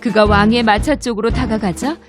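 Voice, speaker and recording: Female narrator, high voice, gentle fairy-tale reading over loud music. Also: moderate pace. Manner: gentle storytelling. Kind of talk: scripted children's story narration. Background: loud background music.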